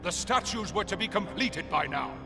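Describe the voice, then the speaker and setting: An actor playing a cruel, imperious temple master in a video game, pompous and contemptuous, speaking of himself as we. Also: male voice, high voice, lively intonation, normal speed, long reverb tail. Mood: scornful, angry. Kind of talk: theatrical video game villain acting. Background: music.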